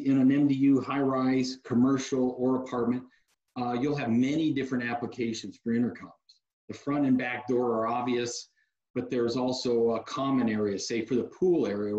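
A man's voice: mid-range voice, dull audio. Man explaining tech over an echoey video call. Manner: relaxed, practical, sales-savvy explainer, many uh fillers. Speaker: security technology company guest. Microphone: video call webcam mic.

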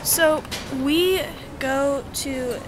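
A young teenage girl, about thirteen, chatting casually and excitably as a vlogger into a handheld camera. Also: sits high, very slow. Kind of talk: casual vlog chat. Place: busy airport terminal, later a car. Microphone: handheld camera at arm's length. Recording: noisy handheld camera audio, bustling airport.

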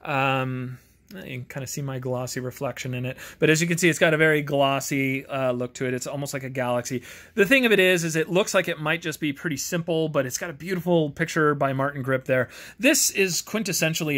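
A man giving a casual, rambling game review to camera in an earnest, conversational, enthusiastic manner, recorded in his home garage. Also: on the high side. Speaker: amateur tabletop game reviewer and host.

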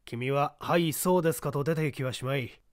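A man voicing a composed middle-aged gentleman who sets a young applicant a test, speaking in a measured, lecturing way. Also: a little high, dry recording, clean studio sound, close to an everyday voice. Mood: stern. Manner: measured, lecturing, poses pointed questions. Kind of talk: audiobook reading of a detective story.

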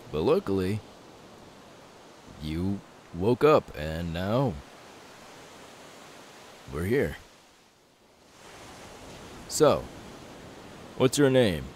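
Casting: young pirate male performer, low-pitched, easygoing and reassuring, for intimate ASMR roleplay. Light hiss on the track. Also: darkish tone. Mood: gentle, curious. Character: easygoing young pirate man, kindly and self-assured. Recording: faint background hiss.

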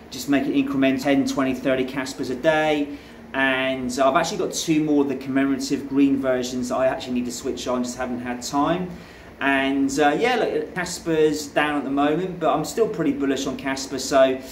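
Australian man in an echoey rig room. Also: medium pitch. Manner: relaxed, chatty, frequent fillers and restarts. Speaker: crypto mining channel host. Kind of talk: casual walkthrough vlog. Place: home room full of mining rigs, indoors. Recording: echoey room with faint fan hum.

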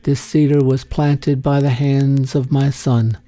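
A man voicing a wistful, tender old woman in a story reading, earnest and measured. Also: mid-range voice, flat delivery, dark tone, dry recording, natural voice.

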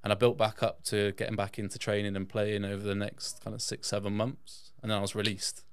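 A man's voice: on the low side, level tone, brisk, clean tone, no room sound. A man from England, a podcast guest telling personal stories in a casual, conversational way. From England, born in Yorkshire, raised in south-west England. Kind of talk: casual personal storytelling in an interview.

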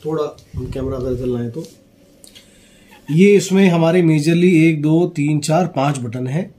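A man with a fairly high, mellow voice walks through a product in a casual, explanatory way. He mixes in English phrases. The small office sounds echoey. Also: unhurried. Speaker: product reviewer and seller. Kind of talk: casual unboxing and product demonstration. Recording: roomy echo, faint background noise.

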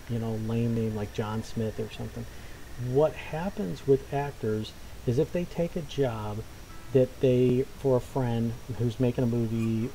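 Man, gaming commentary, very mellow dark tone, casual, rambling, hesitant, faint background ambience. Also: medium pitch, a little hiss or hum, low-quality recording. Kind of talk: casual unscripted commentary over gameplay. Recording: faint game ambience behind.

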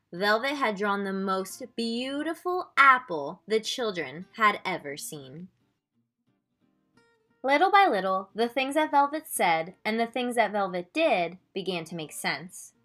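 Bright, lively woman reading a storybook. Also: medium pitch. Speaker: children's picture book reader. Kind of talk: expressive storybook read-aloud.

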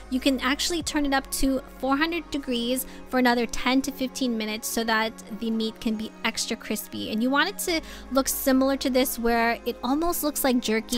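A young woman with a high, bright-toned voice walks her viewers through a recipe in her home kitchen. She talks in an upbeat, instructive way, calling the audience "you guys". Faint music plays quietly beneath her. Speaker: cooking vlogger. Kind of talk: cooking tutorial narration.

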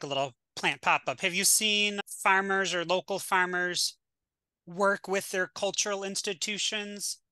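Chatty bright-voiced woman podcast host. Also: on the low side, no echo. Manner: chatty, lots of "like", playful. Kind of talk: casual conversational interview.

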